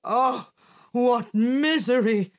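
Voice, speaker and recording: A woman's voice: high-pitched, animated, slow, fairly bright tone, exaggerated voice. A woman voicing a gloomy, pessimistic male philosopher in a children's storybook, crying out warnings in a declamatory way. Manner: lamenting, declamatory.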